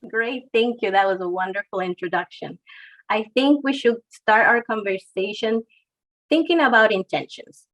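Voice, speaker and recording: A woman's voice: mid-range voice, moderate pace, a bit bright, low-quality recording. A woman hosting a webinar, reading introductions and asking her guest questions in a friendly, scripted way. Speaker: webinar host.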